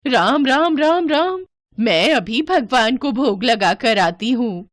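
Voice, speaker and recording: A woman voicing a grumpy, stingy, devout old village woman who scolds and exclaims in an animated moral story. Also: fairly high pitch, takes it slowly, dry recording, studio quality, pitched above the performer's own voice. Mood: alarmed, devout.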